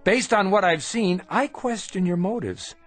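A feverish traveler in a radio drama, weak yet defiant, his pitch rising and falling sharply as he presses accusing questions. Soft music sits underneath. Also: animated, a little slow, exaggerated voice. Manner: probing, indignant, sharp questions. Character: ill, defiant male traveler confronting monks. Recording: radio play with music behind.

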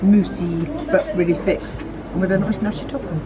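Middle-aged female diner, low-ish voice, casual reviewing, loud crowd around her. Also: steady tone, normal speed. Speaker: dining guest. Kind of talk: casual chat. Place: busy buffet restaurant. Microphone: camera across the table. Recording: loud crowded restaurant noise.

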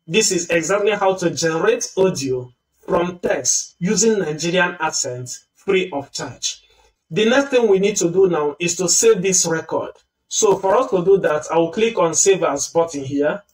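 A man's voice: a little high, far from the mic, low-quality recording. A man hosting a screen-recorded tutorial, patiently explaining each step. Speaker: tutorial host.

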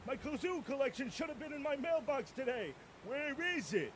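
A man's voice: very high-pitched, sing-song, moderate pace, a little hiss or hum. An actor voicing a cocky male cartoon dog who teases and wisecracks in a dry way. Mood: demanding.

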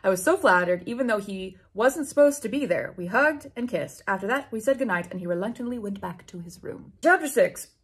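A woman's voice: medium pitch, lively intonation, a little fast, few pauses, breathy edge. A woman in her twenties reading fanfiction aloud at home, with theatrical, incredulous, sweary comic commentary. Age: 20s. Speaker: YouTube host. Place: small home room with bookshelves, indoors.

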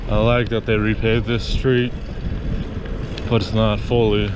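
A man's voice: lowish voice, level tone, measured pace. A man giving casual, rambling ride-along commentary outdoors in short off-the-cuff fragments, recorded on a body-worn action camera. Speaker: vlogger. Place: outdoors, riding a bike on suburban streets. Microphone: action camera worn on the body while riding. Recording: loud wind noise on camera mic. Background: wind and street ambience.

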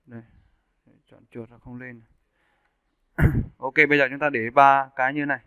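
A man giving a casual, step-by-step software tutorial as an animation instructor. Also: mid-range voice, steady tone, normal speed, clear, resonant tone, dry recording. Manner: informal step-by-step explaining. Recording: muffled narrowband phone-like audio.

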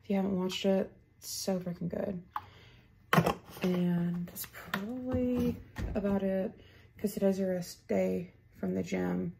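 A young adult woman chatting casually to her phone camera in a home kitchen, sounding tired, unhurried and rambling. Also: medium pitch, vocal fry, mellow, a little hiss or hum. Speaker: vlogger. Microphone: phone front camera at arm's length.

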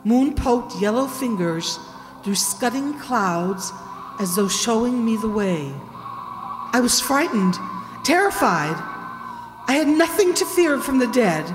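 An older woman playing a frightened, distraught wife in a radio mystery, halting and dramatic. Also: fairly high pitch, sing-song. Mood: dread. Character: frightened, distraught wife narrating a horror tale. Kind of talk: old-time radio mystery acting. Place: stage in a hall, live reading. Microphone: stand microphone. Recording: echoey hall stage performance, music behind.

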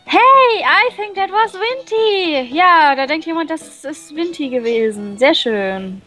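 A woman reading a visual novel aloud and translating it, with many fillers and pauses. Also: very high-pitched, expressive, sharp tone, faint music behind. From Germany. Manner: hesitant, many fillers, laughs often.

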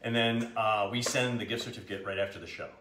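A man's voice: fairly even intonation, fast, pushed and bright. Jokey man with a slightly scratchy voice. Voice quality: a little deep and scratchy today. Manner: jokey, self-mocking, chuckles often. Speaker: co-host of a live shopping show. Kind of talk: casual live-stream banter. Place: large home kitchen, indoors. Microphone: camera mic at a distance. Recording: echoey kitchen, faint background noise.

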